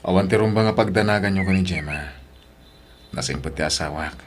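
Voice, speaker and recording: A man's voice: low-pitched, a little fast, lightly acted. A man playing a firm, dutiful eldest brother in an audio drama, speaking earnestly and emphatically. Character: firm, dutiful eldest son scolding younger siblings. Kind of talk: Ilocano audio drama acting. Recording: clearly noisy background.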